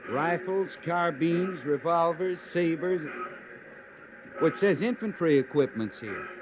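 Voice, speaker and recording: A dutiful Old West cavalry sergeant, folksy and wry. His slightly high voice sits in a muffled, noisy vintage radio recording. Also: expressive, slow pace. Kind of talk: old radio western acting.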